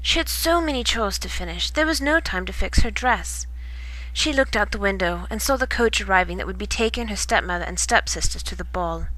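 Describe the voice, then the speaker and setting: A woman reading a children's bedtime story in expressive storybook narration. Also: medium pitch, a little fast, rough recording.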